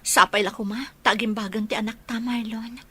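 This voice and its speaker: A woman's voice: on the high side, level tone, a little fast, a bit bright, slightly rough. An actress playing a worried but hopeful young wife and mother in an Ilocano radio drama, with an Ilocano accent.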